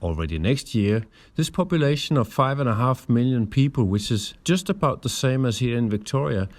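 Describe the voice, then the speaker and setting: A man from Denmark with a Danish accent, presenting persuasively and emphatically in measured phrases, speaking straight to the listener. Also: mid-range voice, a little mellow.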